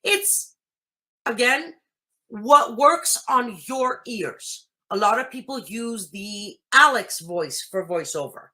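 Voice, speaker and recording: Slow, bright-voiced woman explaining a tutorial. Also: a little high, lively intonation. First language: Greek. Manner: chatty, explains step by step, thinks aloud. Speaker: host. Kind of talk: casual tutorial walkthrough.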